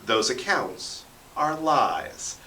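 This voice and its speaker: A man's voice: mid-range voice, lively intonation, drawn-out words, sharp tone. An American man telling stories conversationally as an interview guest, with dramatic flourishes. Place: echoey radio studio. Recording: roomy echo, distant from microphone.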